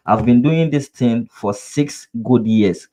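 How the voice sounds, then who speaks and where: A man's voice: mid-range voice, slow, warm tone. Earnest Ghanaian man teaching a webinar. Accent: Ghanaian English. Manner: repetitive, rhetorical "what?" questions; earnest, coaching address to viewers. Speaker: trading instructor. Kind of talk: live webinar lesson.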